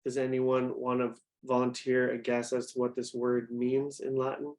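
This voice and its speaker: A man's voice: medium pitch, completely flat delivery, moderate pace, a little mellow. A young man, a youth program director, giving a conversational talk on faith and often putting questions to his listeners. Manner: conversational, asks the audience questions. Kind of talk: interactive talk to a group.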